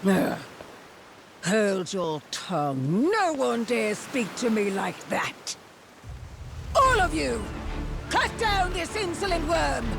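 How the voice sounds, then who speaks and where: A woman's voice: a little high, sing-song, slow, long pauses, full character voice. An actress playing a haughty, imperious pirate queen in a video game, with a pompous, theatrical, commanding manner. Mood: cold fury. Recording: loud music behind.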